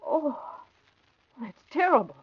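An actress playing a loyal, concerned secretary in the style of 1940s radio drama. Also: female voice, sits high, big pitch swings, takes it slowly, full character voice. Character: loyal, concerned young woman secretary and confidante. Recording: muffled old radio recording, background sounds.

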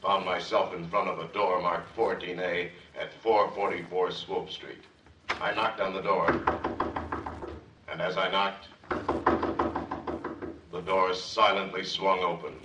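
A man's voice: low-pitched, level tone, a little slow, long pauses. A man playing a melodramatic hard-boiled detective, narrating in parody noir style on an old radio recording. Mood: moody. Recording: echoey, distant, faintly noisy old recording.

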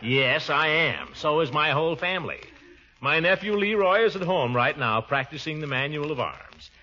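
An American actor playing a pompous, chuckling, good-natured middle-aged uncle in a 1940s style radio comedy. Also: male voice, on the high side, lively intonation, normal speed, noisy background, close to an everyday voice. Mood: proud, defensive. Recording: dull old radio recording.

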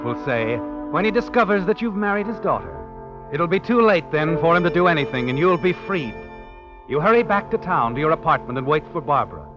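Sinister, taunting radio mystery narrator. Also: male voice, a little high. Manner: insinuating, sardonic. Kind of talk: 1940s radio drama second-person narration. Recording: dull vintage radio, music underneath.

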